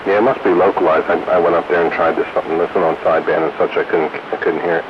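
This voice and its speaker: American male radio hobbyist, very low voice, conversational, dull transmission with clear static hiss. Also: little pitch movement, very fast. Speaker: radio hobbyist in a group chat. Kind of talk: casual two-way radio chat. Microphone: two-way radio, recorded from a receiver stream. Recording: hissy, muffled CB radio transmission.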